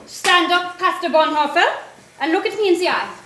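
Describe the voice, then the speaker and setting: A woman's voice: very high voice, moderate pace, sharp tone. A woman playing a devoted, plucky young German fiancée in a theatrical, declamatory stage style. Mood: resolute. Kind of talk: stage play acting. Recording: echoey stage recording, faint noise.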